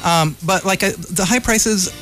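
A man's voice: high voice, unhurried, fairly bright tone, faint music behind, low-quality recording. A man hosting a casual community radio interview, chatty, jokey and slangy in an effusive way. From California, United States. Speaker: radio host.

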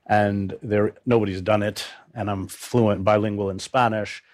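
An older man speaking as a journalist in an interview, recounting his work in a grave, deliberate, halting way. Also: fairly low pitch, fairly even intonation.

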